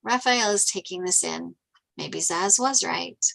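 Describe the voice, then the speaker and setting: A woman narrates a script at a table read, reading out scene headings and action. Her voice sits on the low side, bright and forceful, with audible fry. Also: measured pace, poor audio. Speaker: narrator reading stage directions.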